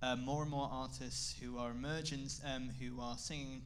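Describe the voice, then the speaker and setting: A man's voice: medium pitch, flat delivery, normal speed, a little hiss or hum. A man hosting a music podcast in casual chat, hesitant and giggly, with many fillers and restarts.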